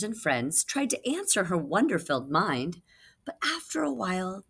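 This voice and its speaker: A woman's voice: low-pitched, fairly bright tone. A woman reading a children's picture book aloud as a teacher would, putting dramatic emphasis on key words for young listeners. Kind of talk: expressive children's read-aloud.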